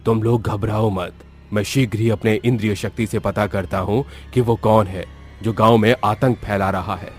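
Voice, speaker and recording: A man's voice: lowish voice, fairly even intonation, normal speed, close to an everyday voice. A man voicing a commanding, solemn tantric holy man in a horror cartoon story. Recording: background music under the voice.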